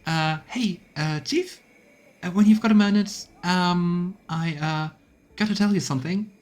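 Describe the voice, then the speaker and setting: A man voicing a nervous, evasive young male rogue in a game, halting and stammering. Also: high voice, voice pushed up.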